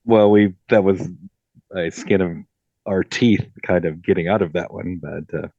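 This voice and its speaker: A man's voice: deep voice, steady tone, a little slow, gravelly, dark tone, no echo, lo-fi sound. A man guesting on a podcast as one of the game's players, talking in a laid-back, wry way.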